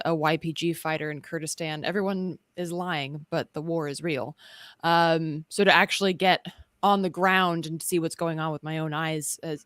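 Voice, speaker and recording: Low-voiced woman journalist, dry close mic. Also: no echo. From North Carolina and Sweden. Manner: thoughtful, many ums and restarts, wry asides. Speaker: journalist and filmmaker guest. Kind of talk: casual video-call interview. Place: home room indoors. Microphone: studio mic on a video call.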